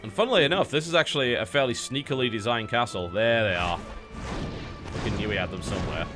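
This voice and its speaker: A man's voice: medium pitch, fast talker. An English man giving live commentary on his game, explaining his tactics as he plays and swearing now and then. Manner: analytical, explains tactics while playing, occasional swearing. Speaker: streamer playing a game. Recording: game music playing behind.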